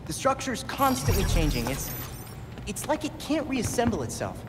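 A man's voice: high voice, wildly swooping pitch, normal speed. A man voicing a thoughtful, slightly hesitant young detective hero in a game cutscene. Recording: reverberant cutscene with music.

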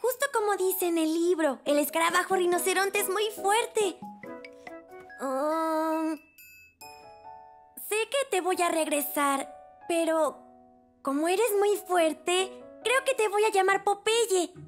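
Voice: very high-pitched, slow, pauses often, faint music behind. A performer playing a timid, bookish little boy in a cartoon dub, with a Latin American accent. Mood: thoughtful. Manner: precise, know-it-all when explaining.

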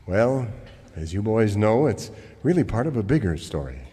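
An actor playing an earnest, good-natured cowboy ranger with a wholesome, theatrical delivery in a parody radio western. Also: male voice, on the low side, very animated, normal speed, warm tone, big-room sound. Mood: folksy storytelling. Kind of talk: parody of old-time radio western serial.

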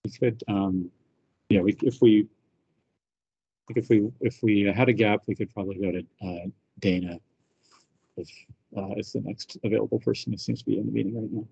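A man helping run an online meeting, halting with many ums and restarts. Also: on the low side, steady tone, gravelly, mellow, breathy edge, no room sound. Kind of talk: casual meeting talk. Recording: dry, dull lo-fi call audio.

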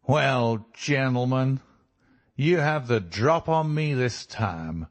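A man voicing a fierce, lovesick and desperate American criminal in a storybook reading, forceful and emotional. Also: mid-range voice, animated, slow pace, voice pushed up. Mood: bitter, defiant.

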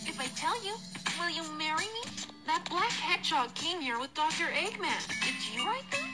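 Very high-pitched perky game girl. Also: sing-song, normal speed, over loud music. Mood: eager, bossy. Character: perky lovestruck girl, bubbly and bossy. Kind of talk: video game voice acting.

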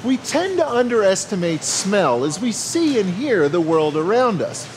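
A man's voice: sits high, very animated, measured pace. A man in his forties hosting a cooking show, talking with playful enthusiasm straight to the camera. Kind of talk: scripted cooking show presenting. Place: home kitchen set and grocery store. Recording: music bed behind voice. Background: background music.

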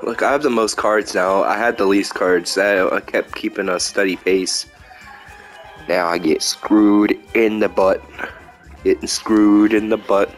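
Young male gamer, drawling and unhurried, goofy self-mocking chatter, light music bed. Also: on the low side, steady tone. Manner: rambling, self-mocking, breaks into silly sing-song chants. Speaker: gameplay commentator. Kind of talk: casual gameplay commentary.

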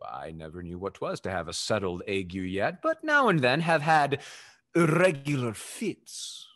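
A man's voice: mid-range voice, sing-song, a little slow, fairly bright tone. A man as a swooping, suave rake. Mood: teasing, wooing. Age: young adult.

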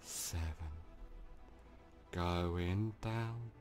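A man guiding a sleep hypnosis session in slow, soothing, drawn-out phrases. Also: deep voice, a little mellow, soft background music.

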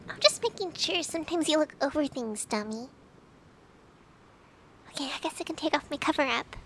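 Woman acting a high-pitched, teasing tsundere girlfriend. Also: lively intonation, moderate pace, a little hiss or hum. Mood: teasing, shy. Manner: playful, pouty, teasing, often dropping to breathy murmurs. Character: flustered, teasing young tsundere girlfriend, bratty but affectionate. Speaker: ASMR roleplay performer. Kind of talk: intimate ASMR girlfriend roleplay.

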